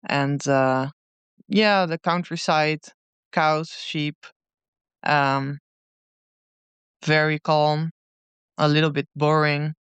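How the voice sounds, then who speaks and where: A woman in her thirties with a Dutch accent answers interview questions, her voice very clear and smooth. She speaks in a thoughtful, unhurried way, pausing often. The sound is dry and close. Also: deep voice, a bit bright, no room sound. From Netherlands. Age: 30s. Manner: thoughtful, unhurried, many pauses. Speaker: guest musician.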